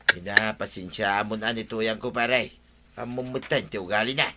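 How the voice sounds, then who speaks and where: A man's voice: fairly low pitch, fairly even intonation, normal speed, heavy background noise, lightly acted. An actor with an Ilocano accent playing a chummy village sidekick who soothes and advises his friend in a radio comedy drama. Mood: soothing, advising. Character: village buddy, soothing sidekick of a troubled captain.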